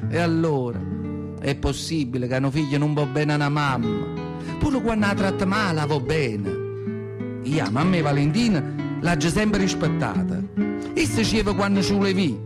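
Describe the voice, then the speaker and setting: An old Southern Italian mother, acted by a man in a high voice, telling her memories in a radio play over music. She has a Neapolitan accent and rambles tenderly and devoutly, confiding in listeners with rhetorical asides. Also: animated, moderate pace, lightly acted. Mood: tender, resigned. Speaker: actor in a radio monologue. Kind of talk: theatrical radio monologue storytelling.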